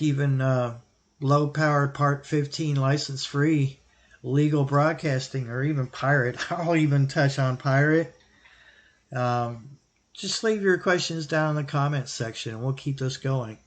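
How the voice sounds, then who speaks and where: Man, medium pitch, casual explainer, plain-spoken, unscripted, halting pauses, hobby video host. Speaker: radio hobbyist host answering viewer question. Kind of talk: casual explanatory product talk.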